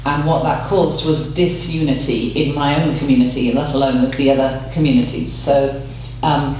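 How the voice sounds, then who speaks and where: A woman giving a measured, reflective explanation as a panel speaker. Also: low-pitched, a little mellow. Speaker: panel speaker, interfaith academic. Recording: echoey hall, distant mic, faint hum.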